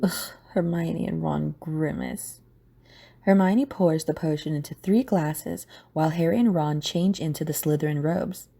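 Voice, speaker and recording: A woman's voice: low-pitched, measured pace, creaky, dark tone, breathy edge. A woman narrating a scripted audio drama.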